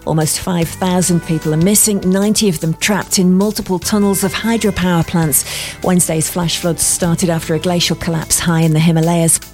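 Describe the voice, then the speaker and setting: A woman's voice: on the low side, fairly even intonation, a little fast, darkish tone, faint music behind. A woman reading a formal scripted radio news bulletin. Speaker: newsreader.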